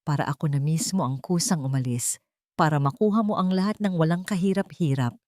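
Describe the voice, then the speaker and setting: A woman playing a wronged wife, cold, controlled, unforgiving, lower-pitched, audiobook drama. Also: a little fast, darkish tone, no room sound, studio quality, lightly acted. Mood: final, firm. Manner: controlled, emotionless, cutting. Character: betrayed wife, coldly calm, accusing, unforgiving. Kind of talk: dramatic audiobook character acting.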